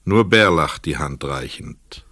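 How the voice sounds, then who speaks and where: A man narrating a scripted literary radio play in a measured, sober way. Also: very deep voice, lively intonation, sharp tone, exaggerated voice.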